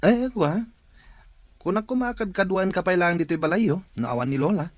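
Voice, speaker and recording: Man playing a flattering young cousin. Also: sits high, fairly quick, no echo, natural voice. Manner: smooth, flattering, coaxing. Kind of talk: radio drama acting.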